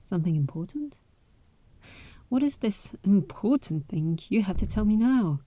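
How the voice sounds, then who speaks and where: Woman doing brave caring adventurer lady, audio roleplay, takes her time, breathy hesitant bits, really dry sound. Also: medium pitch, animated, measured pace, mellow, no echo. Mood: hushed, wary. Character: bold adventurer heiress, adult woman, caring and wary. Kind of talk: audio roleplay acting addressed to the listener.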